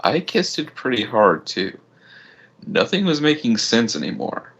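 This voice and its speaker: A man's voice: medium pitch, big pitch swings, unhurried, vocal fry, a bit bright, breathy edge. A man reading fan fiction aloud as a first-person narrator.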